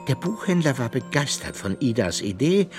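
A man's voice: medium pitch, big pitch swings, moderate pace, music in the background. A man narrating a children's radio play as a scripted storyteller.

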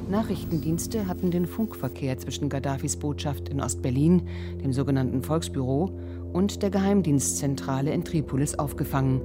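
Eine Frau trägt als Reporterin einen vorbereiteten Nachrichtenbericht vor. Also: female voice, sits low. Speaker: news reporter. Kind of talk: scripted news report.

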